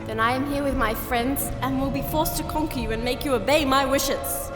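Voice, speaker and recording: Woman as sweet earnest young princess, high voice, bit timid, echoey with music under, fantasy audio play. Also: steady tone, normal speed, natural voice. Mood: indignant resolve. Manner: timid yet earnest, formal. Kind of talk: full-cast fantasy audio drama acting.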